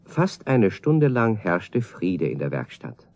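A man's voice: deep voice, sing-song, takes it slowly, a little mellow, full character voice. A man narrating a children's radio play as a scripted storybook tale, on an old record. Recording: dull, roomy 1970 record.

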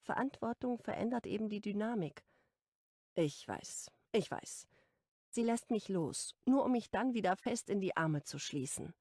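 A woman narrating a romance audiobook in the first person with calm, even storytelling. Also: medium pitch, normal speed, hardly any pauses, dry recording. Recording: dry close studio recording.

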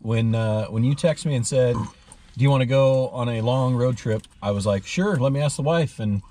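A man in his forties chatting casually as a friend on a road trip, laid-back and quick to laugh, picked up by the host's camera inside a truck. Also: medium pitch, mellow. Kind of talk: casual banter. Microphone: host's camera, off to the side.

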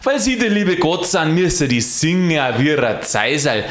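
A woman voicing a cranky, loud Munich porter who has become an angel, shouting and cursing in Bavarian dialect. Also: deep voice, wildly swooping pitch, fairly quick, echoey room, pitched above the performer's own voice, exaggerated voice. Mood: angry, shouting.

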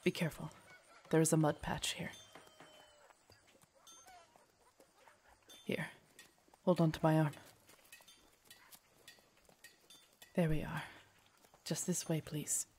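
A woman playing a loyal, gentle royal knight in an audio roleplay, formal, deferential and soothing in her measured speech. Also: deep voice, little pitch movement, breathy edge. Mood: gentle, attentive. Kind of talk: immersive audio roleplay acting.

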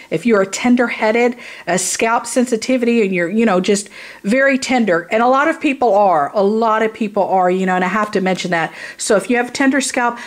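Woman, fairly high voice, tired. Unscripted reviewer, blunt, informal, circles back to repeat points. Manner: rambling, repeats herself for emphasis. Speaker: product reviewer and hairstylist. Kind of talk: casual, unscripted product review.

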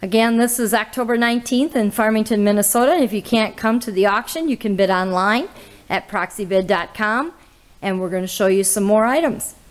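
A woman, hosting a casual auction walkthrough in an old barn full of cars, listing items with little "uh" pauses. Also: medium pitch. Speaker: auctioneer host giving a car walkthrough. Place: inside old barns and sheds full of cars.

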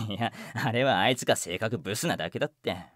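A man's voice: on the low side, a little fast, a bit bright, dry recording, studio quality. An actor playing a cocky, flirtatious male voice actor with breezy, slangy talk in a voice drama. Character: swaggering, flirtatious male voice actor, unhappily married. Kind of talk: voice drama acting.

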